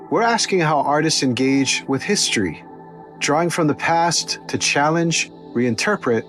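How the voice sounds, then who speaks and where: A man hosting a podcast, giving a scripted introduction and asking interview questions in a measured, polished way. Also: on the high side, a bit bright. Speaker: podcast host.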